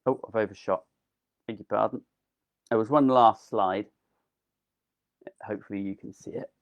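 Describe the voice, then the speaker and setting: A man's voice: fairly low pitch, fairly even intonation, moderate pace, dull audio. A man giving a casual, enthusiastic live online gardening talk, full of ums, as his voice wears thin. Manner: chatty, enthusiastic, with frequent ums. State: losing his voice. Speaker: delphinium grower giving a talk.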